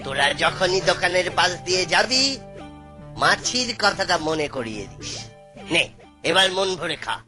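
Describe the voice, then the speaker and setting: A woman's voice: on the low side, animated, moderate pace, close to an everyday voice. A woman voicing a kindly, sly grandfatherly trickster man in a cartoon, with a playful, coaxing delivery. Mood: sly, pleased. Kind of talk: cartoon voice acting. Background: background music.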